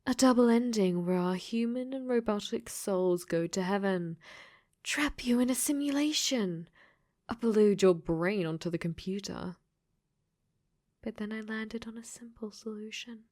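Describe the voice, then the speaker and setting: A woman's voice: mid-range voice, measured pace, darkish tone, close to an everyday voice. A woman playing a clingy, possessive dating sim AI that has become sentient, in an intimate ASMR roleplay that often slips into whispers. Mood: calm, obsessive.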